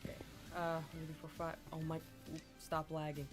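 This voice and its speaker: Congested streamer, hesitant and halting. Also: mid-range voice, completely flat delivery. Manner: hesitant, many ums and restarts; keeps clearing the throat. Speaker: live streamer playing a modded block-building game. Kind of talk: casual live-stream chat while playing. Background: game music.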